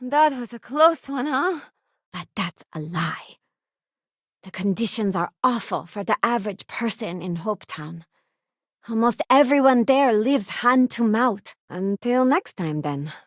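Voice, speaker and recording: An actress playing an earnest, loyal young woman who crews and guns on a spaceship in a video game, speaking conversationally and clearly. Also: medium pitch, dry recording, studio quality. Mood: friendly, conversational. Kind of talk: video game companion voice lines.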